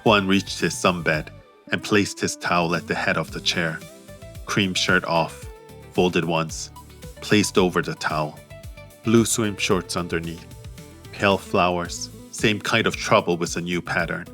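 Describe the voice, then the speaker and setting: A man reading an audiobook romance as narrator in a measured, steady way. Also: low-pitched. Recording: faint music behind.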